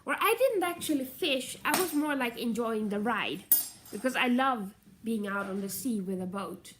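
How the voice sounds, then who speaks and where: A woman's voice: fairly high pitch, expressive, normal speed, fairly bright tone, slight background noise. Chatty nasal woman vlogging. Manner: chatty, meandering, self-correcting. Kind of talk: casual rambling vlog chat.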